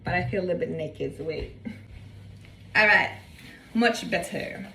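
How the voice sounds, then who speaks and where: A woman's voice: on the low side, unhurried, vocal fry, sharp tone. Young woman rambling thru a cooking vlog, kinda far from the mic, faint hum behind. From Norway. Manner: chatty, rambling, wanders off on tangents. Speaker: cooking vlogger. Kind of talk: casual cooking vlog chat. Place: home kitchen. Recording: slightly distant mic with faint room noise.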